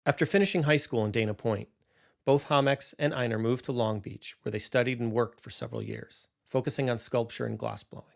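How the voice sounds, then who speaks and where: Creaky male podcast narrator. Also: medium pitch, sing-song, brisk. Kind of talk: scripted documentary narration.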